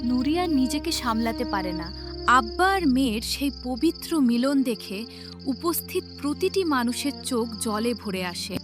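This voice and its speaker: A woman's voice: a little high, lively intonation, unhurried, over music. A woman narrator reading a scripted story in a dramatic storytelling style.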